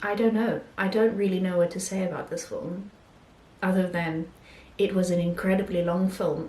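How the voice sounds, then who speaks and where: A woman talking casually about films she has watched, pausing often with ums and restarting her sentences. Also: fairly low pitch, darkish tone, reverberant, poor audio. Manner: hesitant, many ums and restarts. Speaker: film vlogger. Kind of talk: casual film review talk.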